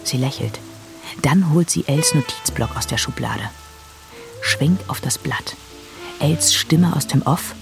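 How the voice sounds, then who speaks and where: A woman narrating scripted screenplay-style scene directions in a measured, descriptive way. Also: rumbling low, lively intonation, vocal fry. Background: music.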